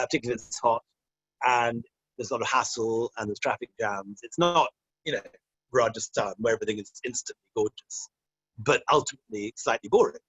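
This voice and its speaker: A man's voice: mid-range voice, a bit bright, no room sound. A middle-aged man from Scotland talks as an interview guest, wandering off into wry, self-deprecating asides. His voice sits in a middle register. The sound is muffled and lo-fi, with a dry, close room. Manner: wry, rambling, self-deprecating, laughs often. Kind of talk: casual interview answers.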